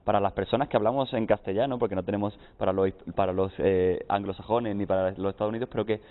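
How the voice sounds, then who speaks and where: A man's voice: lowish voice, fairly even intonation, fast. A man from Spain with a Spanish accent, a guest psychologist explaining his points on a TV panel, often tagging "¿no?". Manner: explanatory, lists points with frequent "¿no?". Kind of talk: TV panel discussion. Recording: tinny lo-fi broadcast audio.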